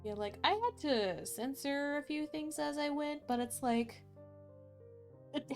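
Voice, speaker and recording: High, animated woman storyteller. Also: measured pace, soft background music. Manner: expressive, informal, candid asides. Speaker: streamer reading fairy tales aloud. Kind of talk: casual livestream chat and storybook narration.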